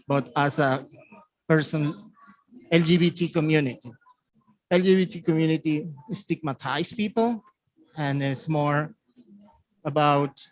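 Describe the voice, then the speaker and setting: A man from Venezuela with a Venezuelan Spanish accent gives a conference presentation in halting, simply phrased second-language English. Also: fairly high pitch, no echo, low-quality recording. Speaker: community presenter.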